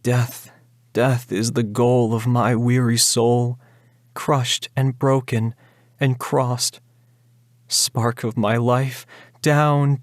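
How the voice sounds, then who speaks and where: A man's voice: mid-range voice, no echo, clean studio sound, close to an everyday voice. Man voicing a grief-stricken, despairing young woman. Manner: anguished, declamatory, lamenting. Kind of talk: dramatic reading of a gothic ballad.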